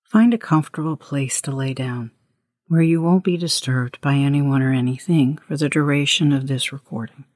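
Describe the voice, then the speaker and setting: A woman leading a guided meditation as host, with a hushed, breathy voice and gentle, unhurried instructions. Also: rumbling low, dry recording, clean studio sound. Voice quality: breathy, husky, often near whisper.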